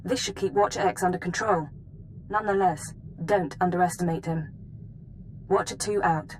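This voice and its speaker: A woman playing a composed female intelligence analyst in a video game, giving briefings in a measured, formal way. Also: lowish voice, slight background noise, natural voice, poor audio. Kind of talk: scripted video game acting, mission briefing.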